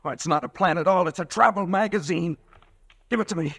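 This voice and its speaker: A frayed, husky pharmacist. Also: male voice, high-pitched, a little fast, a bit bright, dry recording, exaggerated voice. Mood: agitated. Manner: tense, nervy. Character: desperate sleepless male pharmacist. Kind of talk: 1940s radio acting.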